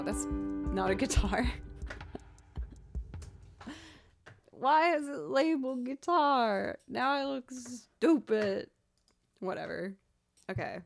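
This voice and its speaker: A young woman with a lower voice, chatting casually on a live music stream, self-deprecating and quick to laugh. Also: vocal fry, no echo.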